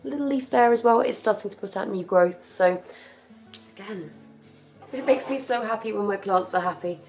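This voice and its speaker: A young woman with a husky voice from a cough, chatting casually about her plants as she works. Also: medium pitch, normal speed, a little mellow, soft background music. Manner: chatty, rambling, self-correcting. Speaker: plant care vlogger. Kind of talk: casual chat while working.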